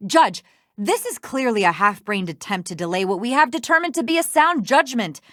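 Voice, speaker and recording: An actress playing a haughty, defensive lawyer villain in a video game, condescending and sarcastic. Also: female voice, fairly high pitch, expressive, moderate pace, fairly bright tone, no room sound, studio quality. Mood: scornful, incredulous. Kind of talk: scripted video game voice acting.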